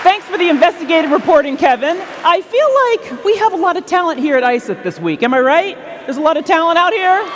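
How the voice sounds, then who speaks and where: A woman's voice: high voice, a little hiss or hum. A woman hosting a ceremony from a stage with upbeat scripted announcements. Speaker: ceremony host. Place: large hall on stage. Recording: echoey large hall sound, faint music.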